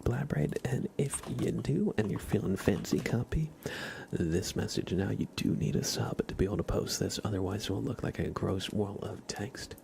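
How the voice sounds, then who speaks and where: A man chatting softly as an ASMR livestream host, hushed and often slipping toward a whisper. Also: on the low side, gravelly, a little hiss or hum. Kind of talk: soft-spoken ASMR livestream chat.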